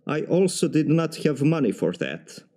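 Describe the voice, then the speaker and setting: A man with a Serbian accent giving formal, measured practice answers for a timed speaking exam. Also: a little high, sing-song, mellow. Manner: formal, measured, slightly stiff phrasing. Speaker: mechanical engineer practicing test answers.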